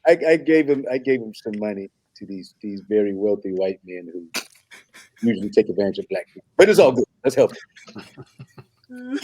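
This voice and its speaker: A man in a comedy table read playing an apologetic, flustered suitor who stammers and fibs, very polite and halting. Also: fairly low pitch, big pitch swings, mellow, slightly rough, no echo, low-quality recording. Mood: polite, evasive. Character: apologetic, flustered man, nervously fibbing to impress his date.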